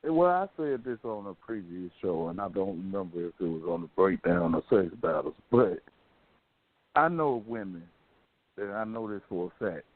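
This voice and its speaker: A man's voice: sits low, expressive, normal speed. A man hosting a radio talk show in casual, colloquial, opinionated chat, telling things like stories. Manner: colloquial, storytelling. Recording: thin phone-like band-limited sound.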